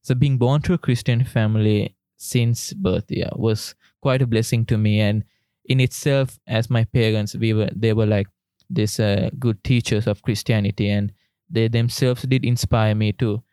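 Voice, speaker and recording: Earnest young guy from sri lanka on a podcast, lowish voice, dry close sound. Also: steady tone, normal speed, dark tone, smooth, dry recording. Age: young adult. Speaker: podcast co-host. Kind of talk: casual chat.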